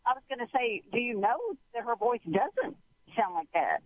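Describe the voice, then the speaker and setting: A woman calling in to a radio show, talking in halting, rambling bursts and breaking off her own sentences. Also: on the high side, animated. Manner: halting, rambling, interrupts herself. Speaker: caller. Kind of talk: call-in radio conversation. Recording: tinny phone line.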